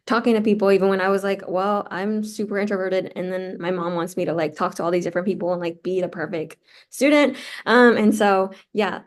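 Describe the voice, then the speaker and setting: A woman's voice: mid-range voice, fairly even intonation, brisk. A woman in her twenties, born in Peru and raised in the United States, speaking as an interview guest, chatting casually and filling her sentences with "like.". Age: 20s. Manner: chatty, heavy use of "like" fillers. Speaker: guest, law student.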